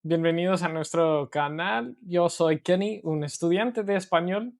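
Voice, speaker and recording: An American man whose first language is English, co-hosting a casual podcast as a Spanish learner and speaking carefully with pauses. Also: high voice, gravelly. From United States. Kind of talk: casual conversational podcast chat.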